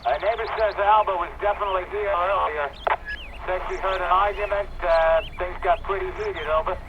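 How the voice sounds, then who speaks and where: An actor playing a blunt, cynical police officer in an audio drama, giving clipped, casual reports through a radio filter. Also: male voice, high voice, fairly even intonation, moderate pace. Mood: businesslike. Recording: tinny radio transmission with loud static.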